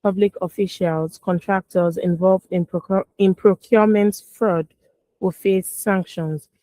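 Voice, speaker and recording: A Nigerian woman co-hosting a radio newspaper review, reading headlines briskly and adding short remarks. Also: mid-range voice, fairly even intonation, dark tone, no echo, low-quality recording. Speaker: radio news review co-host. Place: radio studio.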